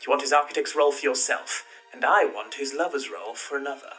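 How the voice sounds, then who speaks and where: A man plays a sly young villain of period drama, bright and crisp-edged in tone. He is languid and insolent, a smirking schemer, heard a little away from the microphone over faint music. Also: mid-range voice, quick pace, far from the mic, lightly acted. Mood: scheming. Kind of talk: scripted period audio drama.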